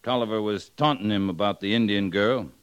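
An actor as a steady, authoritative cavalry captain. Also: male voice, fairly low pitch, a little fast, clear, resonant tone, muffled recording, natural voice. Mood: measured. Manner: clipped, formal military address. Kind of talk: 1950s radio western acting.

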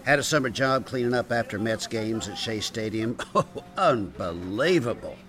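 A man playing a gruff, cynical, money-minded restaurant boss in a comic radio play, talking in a patronizing, cajoling, colloquial way. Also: mid-range voice, animated, moderate pace, a bit bright, natural voice. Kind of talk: comic radio theatre acting.

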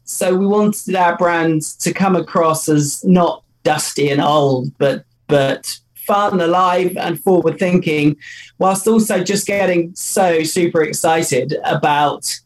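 A man's voice: fairly high pitch, low-quality recording. A man, co-founder of a company, answering interview questions in an enthusiastic, loose way with many fillers.

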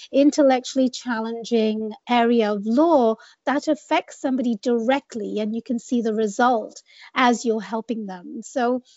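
A woman's voice: on the high side, darkish tone, no room sound. Earnest woman guest, dry muffled audio. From England. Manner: earnest, reflective, with frequent restarts and "you know". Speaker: immigration lawyer, guest. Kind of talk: conversational interview answers.

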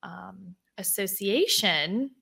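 A woman's voice: medium pitch, animated, takes it slowly, creaky, bright, forceful tone, breathy edge. Upbeat woman co-hosting a podcast. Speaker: podcast co-host. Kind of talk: casual chat.

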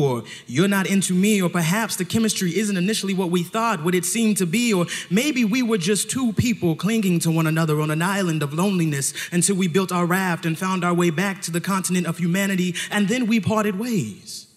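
A man's voice: sits high, brisk, few pauses. A man telling a story live on stage, theatrical and dramatic, with pointed emphasis, recorded in a hall.

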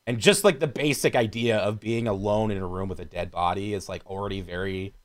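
Male podcast co-host, fairly deep voice, casual film talk, slangy, sweary and keen. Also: fairly even intonation, a little fast. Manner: profane, slangy, enthusiastic. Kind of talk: casual chat about films.

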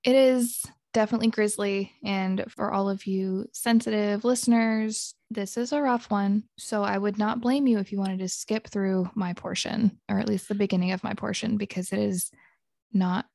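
A woman's voice: mid-range voice, steady tone, moderate pace, gravelly, warm tone, no room sound, studio quality. A woman who sounds congested, co-hosting a podcast in a chatty, giggly, rambling way. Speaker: podcast co-host. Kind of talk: casual chat.

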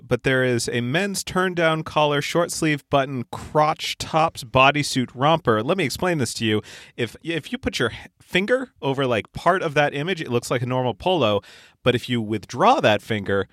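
A man co-hosting a comedy podcast sets up his jokes with long, deadpan explanations. His pitch moves around a lot, and the recording is dry. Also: moderate pace, no echo. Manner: explains at length, deadpan build-ups. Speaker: podcast co-host. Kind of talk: casual comedic banter.

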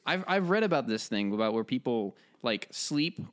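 A man's voice: medium pitch, sing-song, normal speed. A man hosting a podcast in casual chat, with hesitant, rambling phrasing. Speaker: podcast host.

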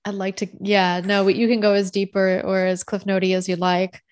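A woman's voice: medium pitch, flat delivery, fairly quick, a little mellow. A woman hosting a podcast, asking questions in a casual, conversational, encouraging way. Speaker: podcast host.

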